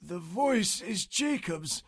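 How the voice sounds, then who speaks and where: A man voicing an aged blind father, frail and solemn, in a slow, quavering old voice. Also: sits high, lively intonation, creaky, fairly bright tone, breathy edge, no room sound, studio quality, voice pushed up, exaggerated voice.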